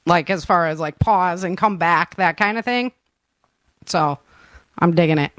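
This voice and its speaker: A woman hosting a podcast in casual chat, telling stories in an animated, giggly way. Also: lowish voice, measured pace, dry recording. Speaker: podcast host and audio drama creator.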